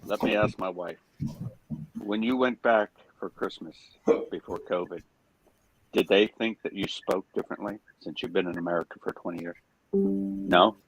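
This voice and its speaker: A man chatting casually, asking relaxed questions. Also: low-pitched, moderate pace, pauses often, faint music behind, rough recording. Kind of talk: casual chat.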